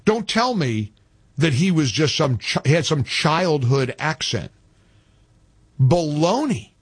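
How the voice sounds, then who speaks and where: A man hosting a talk radio show in a dry studio, his voice slightly husky and rising and falling a great deal. He argues his point hard and repeats phrases for emphasis. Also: fairly high pitch, sing-song, slow pace, dry recording. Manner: combative, repeats himself for emphasis.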